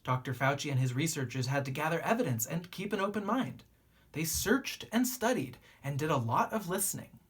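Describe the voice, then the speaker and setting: A teacher reading a children's picture book aloud in an animated way, with drawn-out emphasis and a slightly husky voice. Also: medium pitch. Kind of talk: expressive children's storybook read-aloud.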